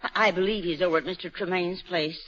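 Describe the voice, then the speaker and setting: A woman's voice: fairly low pitch, fairly even intonation, fast talker, a bit bright. An actress playing a scheming, greedy woman crook who poses as a folksy old mother in a radio mystery. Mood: folksy, sweet. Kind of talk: 1940s radio mystery acting. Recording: dull, muffled 1940s radio recording.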